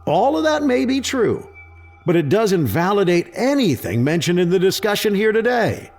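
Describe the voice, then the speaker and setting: Actor as high-pitched, combative government skeptic. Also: male voice, sing-song, normal speed, vocal fry, a little mellow, soft background music, full character voice. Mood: skeptical. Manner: sarcastic, defensive, argumentative. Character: combative, skeptical presidential secretary guarding his turf. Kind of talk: sci-fi audio drama acting.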